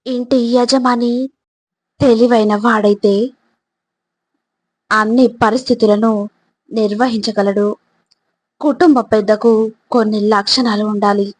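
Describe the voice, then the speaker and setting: A woman reading scripted motivational narration in a measured, instructive way, addressing the listener directly. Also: on the high side, fairly even intonation, no echo, low-quality recording.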